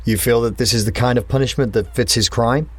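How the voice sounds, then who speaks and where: An actor playing a stern school official who speaks formally and primly. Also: male voice, mid-range voice, steady tone, a little fast, natural voice. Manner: formal and prim. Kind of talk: audio drama acting.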